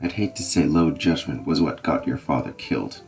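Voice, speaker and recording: An actor voicing a grave Scottish nobleman with a steady, reassuring manner. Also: a bass voice, moderate pace, soft background music. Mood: solemn. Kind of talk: fan audio drama acting.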